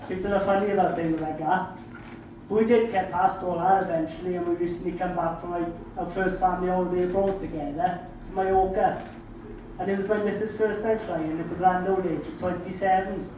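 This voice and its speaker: A man with a South Welsh accent works a comedy club stage in a high-pitched voice, spinning sweary, self-mocking stories and hitting each punchline hard. The sound comes from a distance and rings through a very echoey room. Steady room noise sits underneath. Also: moderate pace. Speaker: stand-up comedian. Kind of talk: stand-up comedy storytelling.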